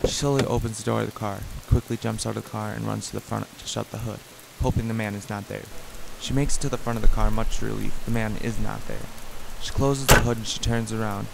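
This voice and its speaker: Male narrator for amateur radio drama: lowish gravelly voice reading scene directions, clear background noise. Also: level tone, brisk, hardly any pauses. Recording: noisy, rain and sound effects behind.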